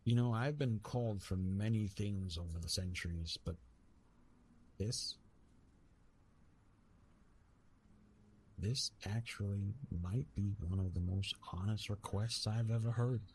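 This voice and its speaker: A man playing Eros, a gently amused, bashful retired god of love, in a halting, teasing, intimate ASMR roleplay monologue. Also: lowish voice, a little hiss or hum, natural voice. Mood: surprised, tender. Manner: hesitant, halting, teasing, with repeated words.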